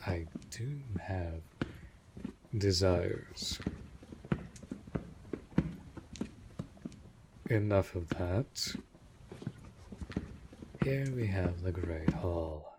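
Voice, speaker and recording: Vampire master played by a man for audio roleplay: deep voice, courteous, measured, half-whispered formality, loud background noise. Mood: composed. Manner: formal, measured, hushed delivery often dropping to a whisper. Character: male vampire lord, formal, courteous, reserved master of a manor. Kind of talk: scripted audio roleplay addressed to the listener. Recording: noisy, hissy background.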